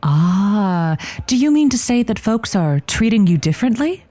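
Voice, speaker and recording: A woman playing a gentle, bemused radio advice host in improvised comedy, asking careful questions with hesitant pauses. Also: mid-range voice, very animated, no room sound, lightly acted. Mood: puzzled, careful. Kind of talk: improvised comedy audio drama.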